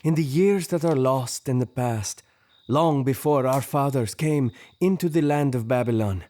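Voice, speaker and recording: A man plays a young, attentive Magus in an audio drama. His soft-edged, mellow voice is respectful, formal and measured. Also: medium pitch, close to an everyday voice. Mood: measured, reverent. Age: young adult.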